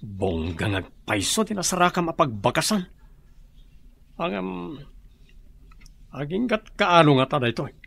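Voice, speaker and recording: A gossipy confidant in a radio drama. His voice sits on the high side and swoops around with frank, chatty energy. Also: sing-song, measured pace, creaky, long pauses, a bit bright, exaggerated voice. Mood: warning. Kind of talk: Ilocano radio drama acting.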